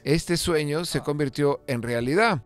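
A man narrating a car video as host in a relaxed, conversational voice-over, with frequent fillers and restarts. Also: on the high side, a little slow, faint music behind. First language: Spanish; lived in England over sixteen years. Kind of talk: unscripted voice-over commentary.

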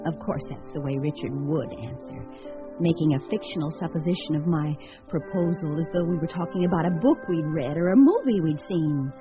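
A woman's voice: deep voice, brisk, lightly acted. A woman acting in a radio drama as a wry, fluttery entertainer who muses aloud about her failed proposals. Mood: wry musing. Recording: dull lo-fi radio sound, faint music.

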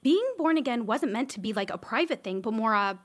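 Argumentative churchgoer: highish, animated, earnest, challenging, radio drama. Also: female voice, a little fast. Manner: challenging, questioning. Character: earnest, argumentative woman in Bible study.

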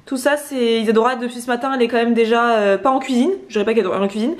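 A woman's voice: fairly high pitch, racing. A young woman chatting casually to camera in her home kitchen, explaining breakfast as she goes. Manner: chatty, lively, explains while cooking. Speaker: vlogger co-host.

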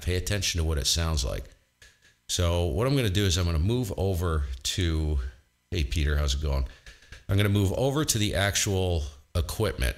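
A man with a very low, boomy borderline baritone voice talks through his ham radio shack in a relaxed way. He explains things as he goes and thinks aloud between points. He speaks at a desk mic in his home setup. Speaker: ham radio operator and host. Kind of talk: casual technical walkthrough.

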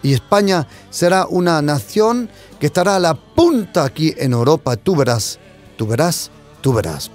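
Impassioned male preacher, lively pitch. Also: moderate pace, soft background music. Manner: impassioned, swings between loud declarations and hushed asides. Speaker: pastor. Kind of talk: preaching sermon.

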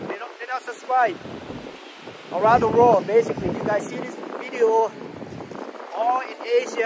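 Thai man vlogging on a windy ship deck. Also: very high voice. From Thailand. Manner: chatty, broken English, loose grammar. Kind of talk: casual travel vlog. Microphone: phone at arm's length.

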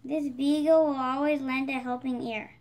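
A female voice with a high pitch reads a children's picture book aloud. She presents it as the host of a read-aloud channel. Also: steady tone, normal speed, darkish tone. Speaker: storybook reader, channel host.

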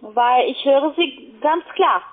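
High-pitched woman on a tinny phone line. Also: animated, slow. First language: not German. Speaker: telemarketing call centre agent. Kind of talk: unscripted sales phone call.

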